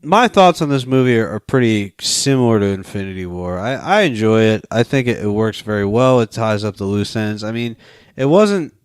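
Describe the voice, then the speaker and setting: A man's voice: on the low side, lively intonation, normal speed, smooth, no echo, clean studio sound. A man co-hosting a film podcast, talking casually with slangy, profane wording.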